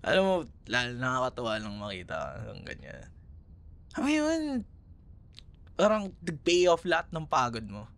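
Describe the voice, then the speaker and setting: Actor as a young Filipino ex-boyfriend, animated pitch. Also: male voice, moderate pace, creaky, full character voice. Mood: impressed, earnest. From Philippines. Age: young adult. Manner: hesitant, casual, giggly, conversational. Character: young Filipino man, teasing, caring ex-boyfriend. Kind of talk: ASMR roleplay, casual one-sided conversation.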